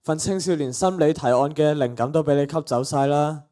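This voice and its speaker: A man's voice: a little high, fairly quick, warm tone, natural voice. A teenage boy with a Cantonese accent playing an exasperated, principled young content creator in a radio play, with a sighing, grumbling manner. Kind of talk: student radio play acting.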